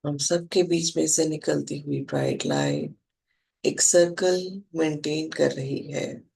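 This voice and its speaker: Very slow, creaky-voiced Indian meditation guide. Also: medium pitch. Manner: calm, measured, soothing. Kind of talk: guided meditation instruction, spoken in short phrases with long pauses.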